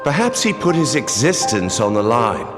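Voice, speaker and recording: Suave gambler villain played by a man, leisurely and theatrical, swooping pitch, reverberant hall. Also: big pitch swings, natural voice. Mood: wry musing. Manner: unhurried, musing, theatrical. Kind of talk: video game cutscene acting. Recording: reverberant, with clear music behind.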